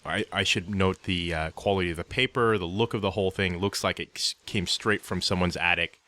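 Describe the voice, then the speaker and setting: A man co-hosting a casual review podcast, with a wry, measured way of talking and somewhat formal wording. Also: low-pitched, steady tone, sharp tone. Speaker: podcast co-host.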